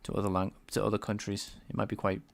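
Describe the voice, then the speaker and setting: A man's voice: on the low side, monotone, quick pace, creaky. A man with a light Scouse accent, live-streaming game programming and chatting with viewers while he works. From England. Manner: thinks aloud, answers chat, enunciates carefully. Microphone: desk microphone.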